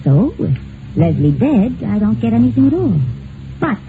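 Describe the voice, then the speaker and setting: An actress playing a cool, scheming estranged wife who blackmails in an old-time radio mystery, coolly insinuating. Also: female voice, on the high side, sing-song, normal speed. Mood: calculating. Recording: tinny, noisy vintage radio recording.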